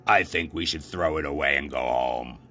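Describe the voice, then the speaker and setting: A man's voice: a bass voice, normal speed, pushed and bright, pitched below the performer's own voice, exaggerated voice. A man voicing a gloomy, easily discouraged toad in a storybook, with a weary grumble. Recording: clearly noisy background.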